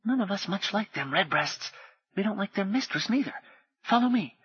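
A small, jittery potboy, hushed and high. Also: male voice, expressive, moderate pace, husky, dry recording, highs cut off, exaggerated voice. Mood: urgent hushed. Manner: hushed, slangy whisper. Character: small nervous streetwise potboy.

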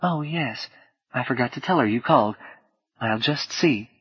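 A man voicing a polite, gently distracted suburban mother with a slight Southern US accent in an audiobook. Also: medium pitch, normal speed, husky, dull audio.

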